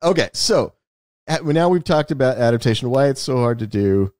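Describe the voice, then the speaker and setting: A man's voice: medium pitch, expressive, moderate pace, darkish tone, no echo. A man hosting a podcast with casual talk and questions, delivered with dry, blunt humor. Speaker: podcast host.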